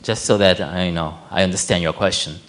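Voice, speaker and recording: A man interpreting live between Korean and English in a temple hall, rephrasing the question to make it clear. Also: deep voice, steady tone, fairly quick, a bit bright.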